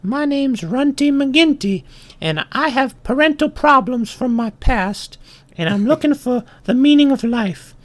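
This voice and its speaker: Squeaky, earnest little runt ant. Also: male voice, lively intonation, measured pace. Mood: earnest, polite. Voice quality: squeaky, thin. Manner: polite, naively earnest questioning. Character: tiny young male runt ant, earnest and polite. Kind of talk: improvised comic storytelling.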